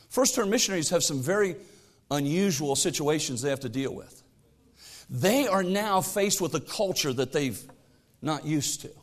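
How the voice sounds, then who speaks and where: A middle-aged man preaching a sermon as pastor, swinging between loud exhortation and quiet asides, reading scripture aloud in a raised voice. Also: high voice.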